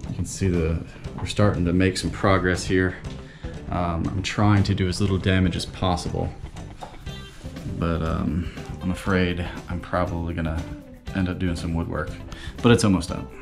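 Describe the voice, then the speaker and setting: A man in his thirties vlogging casually from inside a damaged sailboat cabin, swearing freely and filling pauses with ums. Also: low-pitched, level tone, vocal fry, music in the background. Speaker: sailing vlogger. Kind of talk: casual vlog narration. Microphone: handheld action camera close up.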